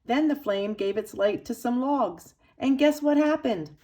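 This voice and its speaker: A woman reading a children's picture book aloud expressively, with dramatic emphasis and pauses for young listeners. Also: fairly high pitch, mellow. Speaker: storybook reader for children's church.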